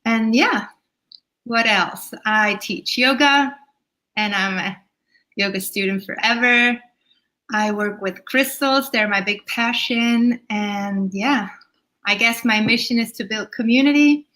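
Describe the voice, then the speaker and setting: A woman's voice: a little high, slow pace, pushed and bright. A woman in her thirties from Switzerland, a yoga teacher speaking casually as an interview guest, with Swiss German as her first language. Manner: chatty, laughs between thoughts.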